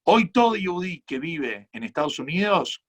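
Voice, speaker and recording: A man with an Argentine accent, a rabbi giving earnest, measured answers to audience questions at a conference talk. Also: fairly high pitch, sing-song. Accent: Argentine Spanish. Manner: earnest, measured, reflective explanations.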